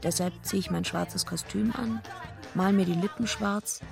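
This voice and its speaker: An actress in a radio drama playing a tough, bitter, defiant female wrestler who narrates her own story, with a gravelly voice and a gruff, blunt manner. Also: medium pitch, steady tone, moderate pace, over music. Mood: grimly determined. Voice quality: gravelly, husky. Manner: gruff, blunt, sardonic, swearing. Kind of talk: radio drama, first-person noir narration and dialogue.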